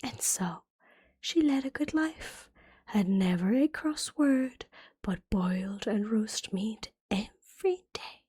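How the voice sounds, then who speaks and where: A woman reading a fairytale as ASMR in a soft, breathy whisper, gently and carefully, like a bedtime storybook. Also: mid-range voice, expressive, slow pace, no room sound.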